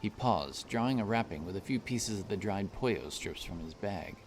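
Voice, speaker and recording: A man narrating a scripted fantasy story in a measured, deliberate storytelling manner. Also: lowish voice, expressive, a little hiss or hum.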